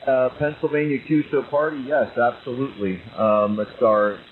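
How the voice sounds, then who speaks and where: A man's voice: mid-range voice, steady tone, moderate pace. A man chatting casually as an amateur radio operator, heard over a ham radio transmission. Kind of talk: casual on-air radio chat. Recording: muffled, very noisy ham radio signal.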